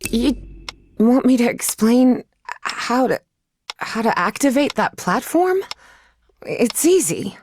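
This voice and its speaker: An actress playing a wary young woman held for questioning in a dramatic video game, halting but defiant. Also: fairly high pitch, big pitch swings, darkish tone, slight background noise. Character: defiant, shaken young female captive under interrogation.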